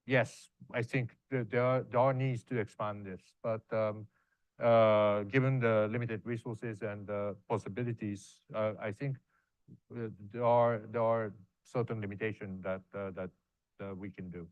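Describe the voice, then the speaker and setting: A man's voice: on the low side, fairly even intonation, no room sound, phone-quality sound. Hesitant man on a panel. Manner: hesitant, many fillers, halting phrasing. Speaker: panelist, university professor. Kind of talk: answering audience questions on a panel.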